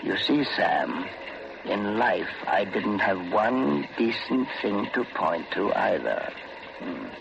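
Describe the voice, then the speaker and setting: An actor playing a stern, solemn ghost who speaks slowly and with grave command, in 1940s radio horror style. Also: male voice, fairly low pitch, sing-song, gravelly, exaggerated voice. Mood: mournful, solemn. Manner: slow, grave, commanding, deliberate. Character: stern, solemn male ghost walking between two worlds. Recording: muffled, very noisy vintage radio broadcast.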